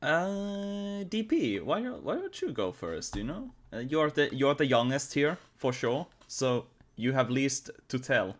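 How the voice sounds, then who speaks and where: A man in his twenties joins a casual chat, teasing the others playfully. His voice sits at a middle pitch for a man but swings a lot as he talks. He is easily surprised. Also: big pitch swings, unhurried, few pauses. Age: 20s. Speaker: podcast co-host.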